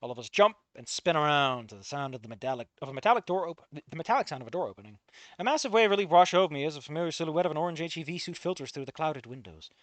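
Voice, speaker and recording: Man, reading a story aloud, lively swings in pitch, dry close sound, swears, interrupts himself to comment. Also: racing, dry recording. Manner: animated, swears, breaks off to comment. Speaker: fan fiction reader.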